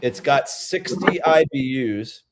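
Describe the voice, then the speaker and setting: A man's voice: on the high side, unhurried, background noise. A man with a Rhode Island accent, chatting casually on a livestream as a beer-review vlogger, rambling a little as he talks. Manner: chatty, rambling, reads out viewer comments.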